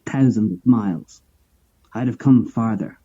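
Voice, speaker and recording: A man playing an eerie, leering onlooker with a dark, husky voice and creepy eagerness, in a horror audio drama. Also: fairly low pitch, measured pace, highs cut off. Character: eerie, leering male onlooker, hungry to watch.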